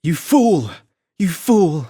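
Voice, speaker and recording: A man voicing an outraged, desperate young noblewoman who pleads and screams in a high, shrill voice. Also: sing-song, drawn-out words, mellow, breathy edge, dry recording, clean studio sound, exaggerated voice.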